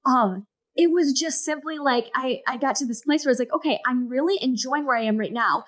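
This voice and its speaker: A woman's voice: sits high, fairly quick. A woman in her thirties, a podcast guest, earnestly telling a personal story and acting out her past thoughts.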